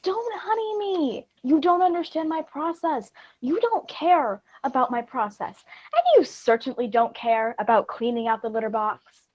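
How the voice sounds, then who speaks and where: A woman's voice: sits high, normal speed, darkish tone, lightly acted. A woman playing a temperamental, self-important actress and aggrieved wife, indignant and emphatic, in a theatrical comedy. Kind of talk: theatrical stage comedy acting.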